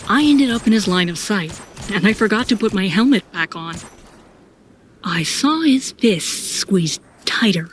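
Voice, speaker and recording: A woman's voice: mid-range voice, a little hiss or hum. A woman giving scripted, dramatic first-person narration as the narrator of an audio story.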